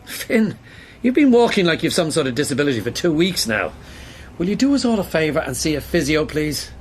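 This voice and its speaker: A man's voice: a little high, sing-song, a little fast, a little hiss or hum. An Irish actor playing a stern, exasperated male newspaper boss in a naturalistic radio drama, speaking bluntly. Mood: grudging concern.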